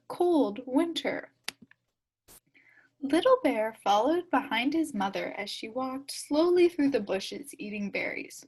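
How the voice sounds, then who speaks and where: A woman reads a storybook aloud in a high, lively voice that swings widely in pitch. She takes her time, enunciating deliberately and playing up the sound words for young listeners. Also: breathy edge. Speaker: storybook reader. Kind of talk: expressive children's story read-aloud.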